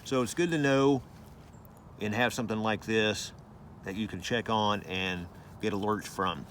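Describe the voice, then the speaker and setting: A man's voice: on the low side, measured pace, fairly bright tone, a little hiss or hum. A man giving a casual hands-on product review, explaining things informally and loosely with some repetition.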